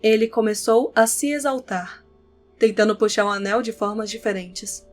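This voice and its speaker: A woman's voice: fairly high pitch, normal speed, darkish tone. A woman reading scripted narration for an audio novel as its narrator. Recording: background music under the voice. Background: instrumental music with guitar.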